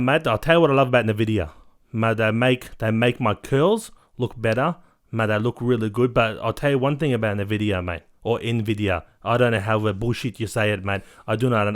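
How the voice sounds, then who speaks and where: A man putting on a swaggering self-obsessed ladies' man, brash and pushy, throwing in "mate" constantly, talking at an ordinary middle pitch. He boasts loudly and talks over the other man. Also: lightly acted. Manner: brash, cuts in, says "mate" nearly every line. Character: cocky vain male ladies' man, boastful. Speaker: comedic character guest.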